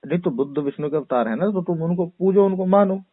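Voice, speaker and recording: A man talks on a recorded phone call in a slightly high voice. He argues a point conversationally, with rhetorical questions and imagined dialogue. The sound is dull and narrow, with no room echo. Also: quick pace. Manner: explanatory, uses hypothetical dialogue and rhetorical questions. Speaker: YouTuber answering a caller's questions. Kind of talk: casual argumentative phone discussion.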